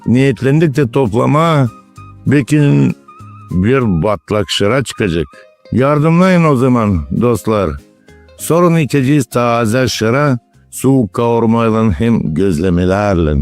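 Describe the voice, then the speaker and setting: A man's voice: medium pitch, expressive, slow pace, a little mellow. A man voicing a wise, folksy village grandfather in a cartoon, explaining old ways. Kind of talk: cartoon character dialogue. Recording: music behind the dialogue.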